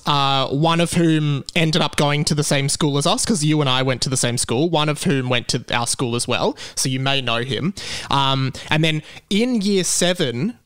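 A man's voice: medium pitch, a bit bright, clean tone, no room sound. A man hosting a podcast in casual comedic chat, with a jokey, self-deprecating manner. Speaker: podcast host.

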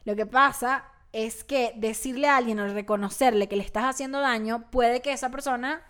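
A Mexican-accented woman hosts a casual podcast, her voice a bit high. She talks in a relaxed, chatty way and now and then reads passages aloud. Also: normal speed. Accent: Mexican Spanish. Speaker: podcast host. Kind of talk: casual chat.

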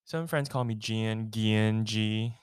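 A man's voice: fairly low pitch, slow pace, clear, resonant tone, no echo, studio quality. A young man from the Philippines co-hosting a podcast, playful and teasing, laughing often in casual chat. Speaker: podcast co-host.

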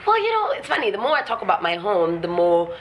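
A woman's voice: lowish voice, wildly swooping pitch, quick pace, slight background noise. A woman from Ghana talking frankly and reflectively in an interview, laughing often and swearing casually. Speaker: guest artist.

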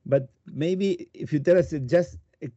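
Hesitant man with a mellow voice, dry sound. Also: on the high side, a little slow, dry recording. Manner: hesitant, thoughtful, self-doubting. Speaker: podcast co-host. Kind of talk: interview questions, casual. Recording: dry, dull lo-fi audio.

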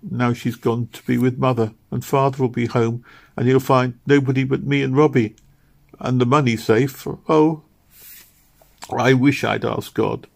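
A man's voice: lowish voice, fairly even intonation, moderate pace, a little mellow, voice pushed up, natural voice. A man voicing a grieving poor little girl who pleads tearfully and earnestly. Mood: sobbing.

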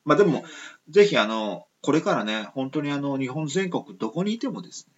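A man's voice: mid-range voice, big pitch swings, a little fast, lo-fi sound, a little hiss or hum. A man hosting a casual radio chat, polite and rambling with many fillers. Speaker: radio show host.

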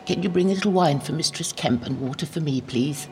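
A woman acting in an audio drama as a gentle, devout older recluse who speaks in a measured, motherly, soothing way. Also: deep voice, soft background music. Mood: warmly welcoming. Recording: reverberant hall, faint background noise.

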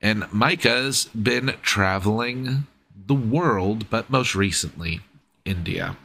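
A man's voice: fairly low pitch, slow, bright, forceful tone, slight background noise. A man hosting as game master, sick and congested, partly losing his voice, with a breezy, irreverent delivery. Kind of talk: recapping a tabletop game podcast.

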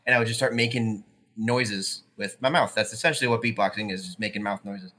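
A man's voice: lowish voice, fairly even intonation, fairly quick, bright, forceful tone, slight background noise. A young man in his twenties, co-hosting a podcast, talking casually with many ums and restarts. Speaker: podcast co-host.

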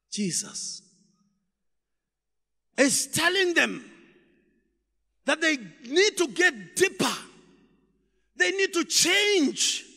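A man preaching a sermon in a church, shouting emphatic lines and then dropping his voice low, repeating rhetorical questions to the congregation. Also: vocal fry, big-room sound. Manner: repetitive call-and-response questioning.

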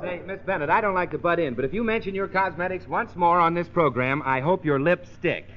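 A man's voice: high-pitched, brisk, very noisy. A man working as announcer and sidekick on a 1930s radio comedy show, heard on a muffled old recording. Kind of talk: 1930s radio sponsor announcing and scripted banter.

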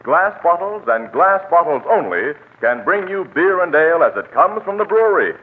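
American male announcer, higher-pitched, very varied intonation, emphatic 1940s ad read, tinny hissy radio. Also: very animated, moderate pace. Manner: emphatic, declamatory sales pitch.

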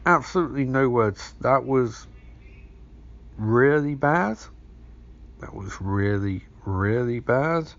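A podcast host with a hoarse, gravelly voice rambles through a movie recap, swearing freely. His pitch sits mid-range for a man. The recording is dull and lo-fi. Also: takes it slowly, pauses often. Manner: sweary, rambling, acts out scenes. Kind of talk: casual profane movie recap.

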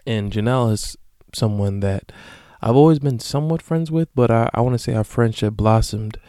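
A man in his late twenties hosting a solo podcast, talking casually and profanely with sudden loud bursts. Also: mid-range voice, moderate pace, no echo, clean studio sound. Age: late 20s. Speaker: podcast host.

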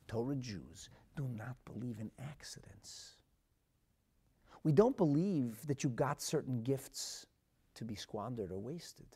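A man with a North American accent giving a religious sermon as a rabbi, emphatic and dramatic, phrasing deliberately and repeating for effect. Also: medium pitch, gravelly, husky. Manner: emphatic and dramatic, swinging from hushed asides to loud declarations; deliberate phrasing with rhetorical repetition. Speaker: rabbi and Torah teacher.

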